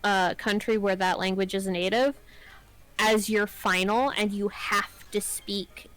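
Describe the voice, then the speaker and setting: A woman chatting casually with a friend, reacting quickly and swearing. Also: mid-range voice, steady tone, fairly bright tone, smooth, poor audio. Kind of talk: casual chat.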